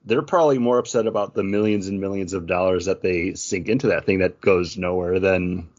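A man's voice: sits low, a little fast, a little mellow. A man co-hosting a podcast, debating casually with friends. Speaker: podcast co-host. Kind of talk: casual chat, good-natured debate. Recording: dull lo-fi audio.

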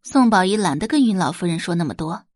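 Expressive female audiobook narrator. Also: lowish voice, quick pace, no room sound, studio quality. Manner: expressive storytelling.

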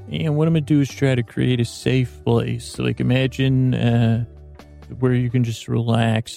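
A man hosting a sleep podcast, talking in a slow, rambling, meandering way that drifts off topic and keeps interrupting itself. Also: medium pitch, gravelly, dark tone. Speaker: podcast host. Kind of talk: rambling bedtime monologue.

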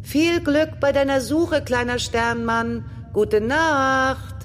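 Friendly, busy astronaut. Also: female voice, sits high, slow, soft background music. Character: friendly busy female astronaut, caring toward a small friend. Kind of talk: children's audio play acting.

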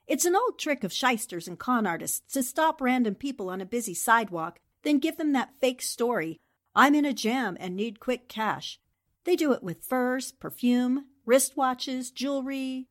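Sharp lady columnist, played by a woman, 1940s radio drama: highish, very lively pitch, theatrical, knowing, teasing. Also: normal speed. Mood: brisk, knowing. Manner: theatrical, condescending endearments. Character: shrewd, worldly, teasing newspaperwoman columnist.

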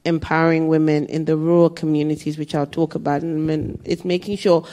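Candid woman answering audience questions. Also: low-pitched. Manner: animated, candid, quick asides and restarts. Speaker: speaker on development finance and energy.

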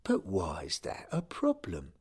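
A man voicing a gentle 92-year-old manager who speaks softly and hesitantly, stammering at times. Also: deep voice, huge pitch swings, measured pace, breathy edge, exaggerated voice. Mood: soothing. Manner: hesitant, soft, stammering, fatherly.

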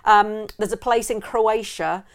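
A woman's voice: medium pitch, unhurried. Woman, middle-aged, from England, giggly, trivia-reading, casual chat. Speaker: podcast co-host.

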